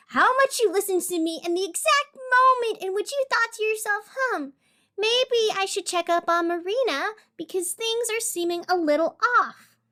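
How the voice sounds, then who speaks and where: An actress playing a wry, wounded young radio host in a scripted audio drama, sardonic and theatrical with deliberate pauses. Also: female voice, extremely high pitch, fairly bright tone, exaggerated voice. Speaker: fictional radio show host.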